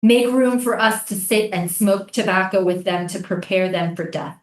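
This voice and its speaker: A woman's voice: medium pitch, normal speed, close to an everyday voice. Echoey, defiant native islander, acted by a woman. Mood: solemn. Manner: incantatory, repetitive pleading. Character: defiant, mournful native islander pleading for stolen land. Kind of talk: theatrical staged reading. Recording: echoey room.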